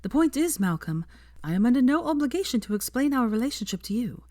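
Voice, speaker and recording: A woman's voice: fairly high pitch, sing-song, quick pace, a little mellow, no echo, clean studio sound, pitched above the performer's own voice. A woman voicing an assertive, bookish young witch who speaks emphatically in a storybook reading. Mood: cool, firm.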